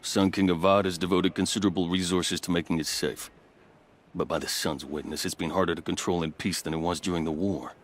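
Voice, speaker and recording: An actor playing a loyal, wry veteran fort captain in a video game, speaking in measured, formal phrases with dry asides. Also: male voice, low voice, fairly even intonation, natural voice. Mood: grave. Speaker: fort captain quest giver. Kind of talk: video game dialogue acting. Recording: game soundtrack music behind. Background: music.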